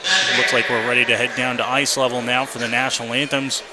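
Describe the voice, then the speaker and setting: A man's voice: mid-range voice, level tone, fast talker. A man doing live radio play-by-play commentary before a hockey game, as the announcer. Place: indoor hockey arena. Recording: noisy live rink broadcast. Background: clear background arena noise.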